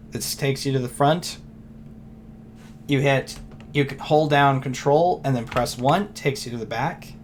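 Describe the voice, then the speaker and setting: Hoarse man rambling through a tutorial. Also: mid-range voice, slight background noise. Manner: rambling, loose, thinks aloud with fillers. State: losing his voice, clearing throat. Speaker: software tutorial teacher. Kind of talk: casual screen-recorded tutorial.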